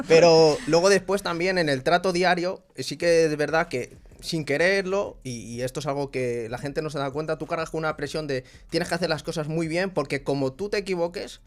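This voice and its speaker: Animated young man. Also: fairly high pitch, a little fast, few pauses. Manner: animated storytelling with laughs and acted-out quotes. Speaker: guest. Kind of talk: casual discussion.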